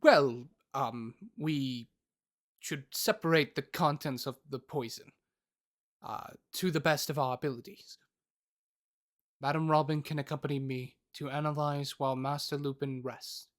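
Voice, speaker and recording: A man playing a composed, formal, commanding healer in an audio drama, speaking in measured phrases. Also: mid-range voice, steady tone, close to an everyday voice. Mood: composed, dry.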